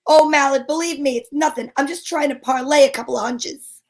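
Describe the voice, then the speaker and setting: Woman acting a high-voiced, wry lady private eye. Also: a little fast. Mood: breezy, teasing. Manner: wry, wisecracking. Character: wry, breezy woman private eye narrating her case. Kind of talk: old-time radio detective drama.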